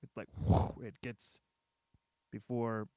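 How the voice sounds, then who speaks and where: A man on a casual livestream, thinking aloud haltingly as he drafts a script, muttering and repeating his phrases. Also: fairly low pitch, little pitch movement, darkish tone, no echo. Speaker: streamer writing a visual novel.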